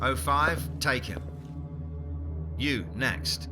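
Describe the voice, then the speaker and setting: A man's voice: mid-range voice, lively intonation, extremely slow, over music. An actor playing a commanding task force squad leader in an audio drama, terse and authoritative as he gives orders. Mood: commanding, tense.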